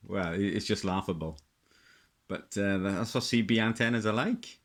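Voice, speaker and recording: A middle-aged man with a low-pitched voice talks through things in an off-the-cuff way. He sounds like a hobbyist showing items one by one, pausing to muse and often repeating his phrases. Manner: halting, musing, repeats himself. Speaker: hobbyist presenter. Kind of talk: casual unscripted show-and-tell commentary.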